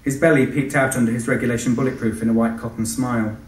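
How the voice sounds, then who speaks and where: A man's voice: mid-range voice, steady tone, quick pace. Man reading aloud, echoey hall. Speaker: author. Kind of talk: live reading from a novel. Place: live event in a large venue. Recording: echoey hall, distant microphone.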